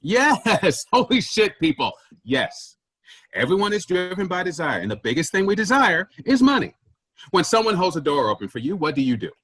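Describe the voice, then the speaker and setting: Man acting a pompous, animated office boss. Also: high-pitched, moderate pace, slightly rough, dry recording, full character voice. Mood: dismissive. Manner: pompous, preachy, emphatic. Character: pushy, self-important male office boss obsessed with efficiency. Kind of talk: staged reading of a stage comedy.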